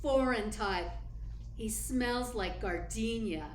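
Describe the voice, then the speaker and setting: Woman acting slow-talking, high-voiced secretary. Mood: knowing. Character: female detective's secretary, brisk and knowing. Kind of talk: noir radio play acting. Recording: roomy echo, background noise, off mic.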